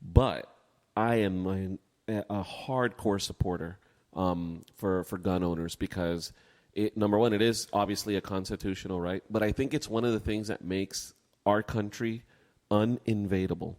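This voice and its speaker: American guy, lowish voice, casual opinionated podcast chat, big echo on it. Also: steady tone, moderate pace, darkish tone. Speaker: podcast co-host. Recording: long echoing reverb.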